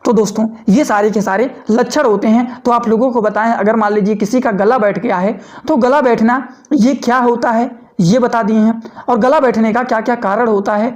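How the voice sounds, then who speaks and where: A man giving a fast, loud video lecture to medical students, repeating points and often checking that listeners have understood. He sounds slightly hoarse. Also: very high-pitched, fairly even intonation, highs cut off. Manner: repetitive, often asking "understood?", mixing English terms into Hindi; talks loudly and fast. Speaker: medical educator.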